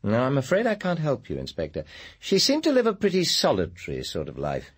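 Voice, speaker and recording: An actor playing a formal, guarded senior scientist with an English accent in a radio drama, speaking in a measured, articulate way. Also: male voice, mid-range voice, huge pitch swings, slightly rough, heavily acted. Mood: guarded, cool. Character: smooth, guarded male senior scientist, director of a power station. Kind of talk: British radio drama acting.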